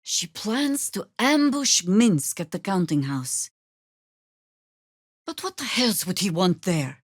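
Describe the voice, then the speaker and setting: A woman playing a seasoned older druid warrior in a video game, wry and commanding, with dry, formal, archaic phrasing and a measured, weathered delivery. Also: medium pitch, big pitch swings, slightly rough, no room sound, heavily acted. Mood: dry, reflective. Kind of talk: video game character acting.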